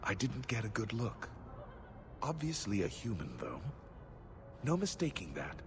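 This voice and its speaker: A man's voice: mid-range voice, sing-song, unhurried, slight background noise. An actor playing a sly, aloof non-human male informant in a fantasy video game, evasive and condescending. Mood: sly, dismissive.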